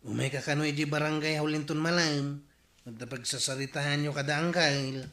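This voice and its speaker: A teasing, talkative villager in a Filipino radio comedy drama. His Filipino-accented voice sits fairly high and bright, with a clear room echo. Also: steady tone, moderate pace. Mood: matter-of-fact.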